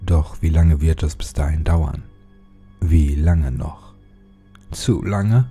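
Male horror audiobook narrator: low, very mellow and dark, dramatic and suspenseful, music underneath. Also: slightly rough. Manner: dramatic, suspenseful delivery with deliberate phrasing.

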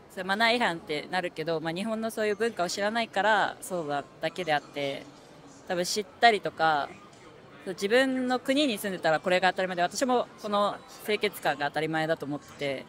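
A woman's voice: fairly low pitch, fairly bright tone. A young woman in her twenties answers casually and rambles with fillers into a handheld mic on a street. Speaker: interviewee. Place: city street outdoors. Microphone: handheld mic held by interviewer.

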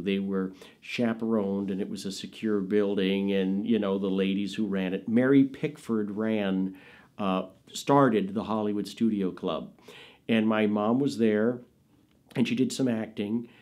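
Lively storytelling middle-aged man. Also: on the low side. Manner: animated, expressive storyteller. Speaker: interviewee. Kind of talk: casual storytelling.

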